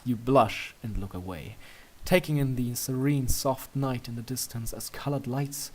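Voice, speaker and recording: A man narrates a piece of fan fiction from a script. His voice sits at a medium pitch, and he reads in a storytelling style. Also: measured pace.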